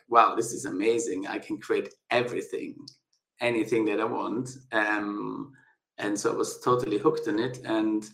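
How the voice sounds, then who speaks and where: Gravelly low-voiced man, echoey room. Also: fairly bright tone. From South Tyrol, northern Italy. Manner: thoughtful, hesitant, many fillers and restarts. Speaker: guest architect. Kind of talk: casual interview conversation.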